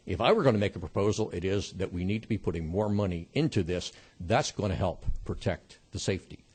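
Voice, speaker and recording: A man testifying as a witness before a committee, measured and polite. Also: low voice, a little mellow, husky.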